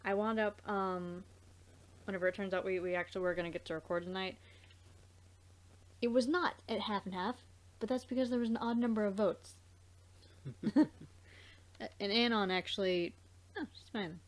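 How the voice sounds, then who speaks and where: A woman with a mid-pitched voice hosts a casual let's play. She rambles in a loose, halting way, often breaking off and circling back to pick up her thought. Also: fairly even intonation. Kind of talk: casual gaming chat.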